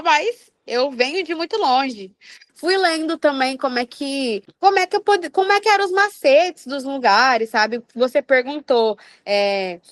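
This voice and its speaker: A Brazilian woman with an accent from outside Rio, chatting as a podcast guest in an animated, slangy way, telling personal stories. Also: high voice, no echo. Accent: Brazilian, non-Rio. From Brazil, outside Rio de Janeiro. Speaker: podcast guest, funk singer. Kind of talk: casual chat, personal storytelling.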